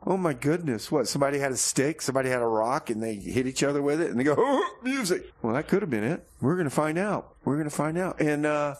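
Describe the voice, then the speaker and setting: A man hosting a comedy radio show in a rambling, halting, folksy way, often repeating himself. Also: a little high. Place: radio studio.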